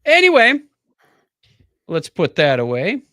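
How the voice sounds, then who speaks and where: Casual male podcast host with lively delivery. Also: sits high, takes it slowly, dry recording, clean studio sound.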